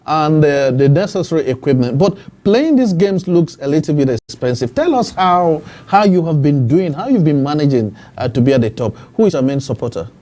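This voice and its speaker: A man's voice: on the high side, expressive, brisk, hardly any pauses, warm tone. A man hosting a studio TV interview, asking formal, leading questions with fillers. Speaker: TV talk show host.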